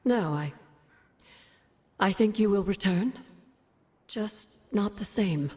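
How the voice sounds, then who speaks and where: A slow, echoing, cryptic witch mentor. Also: medium pitch, animated, darkish tone, slightly rough. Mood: solemn, tender. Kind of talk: video game voice acting. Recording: long echoing reverb.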